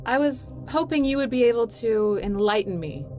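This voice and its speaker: A woman playing a poised, calculating corporate executive in an audio drama, speaking in a measured, formal and coolly composed way. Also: fairly high pitch, expressive, lightly acted. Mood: composed, knowing. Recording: music under the dialogue.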